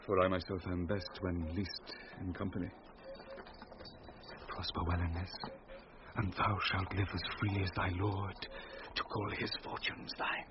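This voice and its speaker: An actor playing a lovesick, commanding noble lord, speaking in romantic, declamatory Shakespearean radio drama style. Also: male voice, low voice, flat delivery, a little slow, pauses often. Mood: tender, ardent. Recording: dull recording with noisy ambience.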